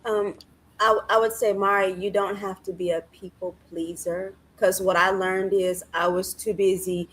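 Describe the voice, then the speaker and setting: A woman's voice: mid-range voice, flat delivery, moderate pace, fairly bright tone, slight background noise. A woman in her forties who speaks earnestly and encouragingly as a panelist in a casual conversation. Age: 40s. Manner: earnest, encouraging, often affirms others.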